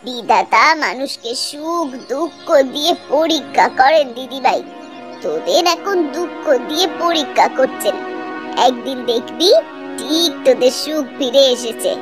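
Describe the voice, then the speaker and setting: A woman voicing an affectionate, gently scolding old village grandmother in a cartoon, in a folksy, fond way. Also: sits high, animated, unhurried. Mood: tender, sorrowful. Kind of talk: Bengali cartoon voice acting. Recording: loud music behind the voice. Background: music.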